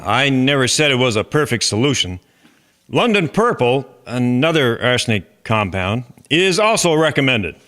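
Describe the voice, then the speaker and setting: A man's voice: medium pitch, sing-song, moderate pace, a bit bright. A man playing an earnest chairman of a farmers' agricultural society in a historical drama, speaking formally and instructively. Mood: cautioning, urging.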